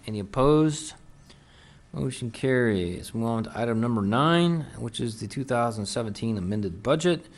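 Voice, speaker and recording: A man serving as mayor, running a council meeting in a brisk, businesslike way. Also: mid-range voice, expressive. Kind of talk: formal meeting procedure.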